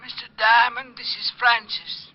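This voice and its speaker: Prim high-pitched butler. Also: male voice, level tone, measured pace, background noise. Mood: formal. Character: prim, deferential, easily flustered male butler. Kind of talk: old-time radio acting. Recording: dull old radio recording.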